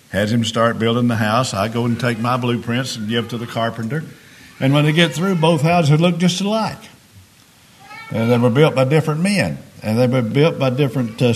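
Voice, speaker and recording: Hoarse preacher man. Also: medium pitch, darkish tone. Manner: plain-spoken, homespun examples, frequent restarts. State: hoarse, raspy voice today. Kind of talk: sermon.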